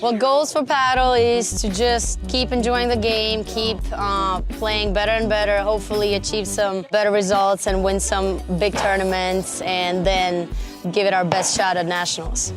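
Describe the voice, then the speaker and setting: A woman's voice: medium pitch, little pitch movement, normal speed. Young Croatian-born woman player, music behind. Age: young adult. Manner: friendly, casual, some hesitations. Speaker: platform tennis player. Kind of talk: player profile interview.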